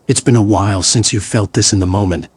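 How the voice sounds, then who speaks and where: Deep, husky male story narrator. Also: fast talker, dry recording. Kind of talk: scripted second-person story narration.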